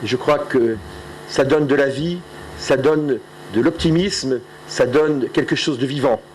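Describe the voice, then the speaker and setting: A man's voice: medium pitch, a little slow, a little mellow, rough recording. A middle-aged man from Alsace giving an earnest, proud closing speech at a conference. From Alsace, France. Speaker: conference speaker.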